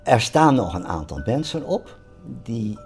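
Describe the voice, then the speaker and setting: An older man giving a personal account in an interview, in hesitant phrases with pauses. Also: medium pitch, sing-song, breathy edge. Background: music underneath.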